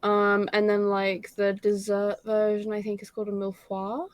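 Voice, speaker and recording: A woman's voice: medium pitch, completely flat delivery, normal speed, smooth, no room sound. A young woman with an English RP accent, streaming a game and chatting casually, explaining things at length with plenty of ums. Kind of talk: casual gaming chat.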